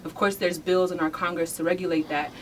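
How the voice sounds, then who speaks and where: Female interview guest, lower register, earnest and emphatic, picked up distantly in a noisy studio. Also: level tone, quick pace. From Nigerian background. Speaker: interview guest, hair care company founder. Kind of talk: radio interview, explaining. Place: radio studio. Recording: noisy room, distant mic.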